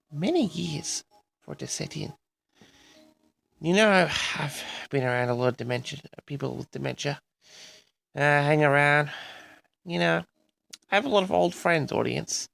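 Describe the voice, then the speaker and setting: A man hosting an audio log, rambling in a halting solo monologue with frequent pauses, addressing his audience directly. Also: a little high, gravelly, a bit bright. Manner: halting, pausing often, repeatedly addresses the audience.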